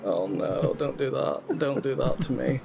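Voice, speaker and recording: A man who is racing while chatting on a voice call, relaxed and rambling, often chuckling. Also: medium pitch, unhurried, gravelly, noisy background. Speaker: racing driver guest on voice call. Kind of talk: casual chat while racing.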